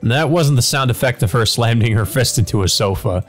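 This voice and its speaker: A man's voice: medium pitch, expressive, brisk. A man narrating a visual novel aloud as a let's play host, in a dramatic storytelling manner, with asides of his own. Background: background music.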